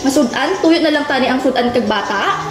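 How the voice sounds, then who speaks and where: A woman's voice: high-pitched, lively intonation, a little fast. A woman playing a young battered wife, weary, pleading and defensive, in an amateur radio drama with a Western Visayan accent. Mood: exasperated. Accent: Western Visayan Philippine. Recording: roomy echoing recording with music behind. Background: music.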